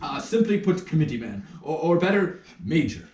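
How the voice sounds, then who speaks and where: A man's voice: fairly high pitch, big pitch swings, moderate pace, noisy background. A man playing a flustered, pompous, self-important minor official in a theatrical puppet show, stammering with indignation. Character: flustered, pompous minor official who lost his nose. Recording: roomy, distant rehearsal room recording.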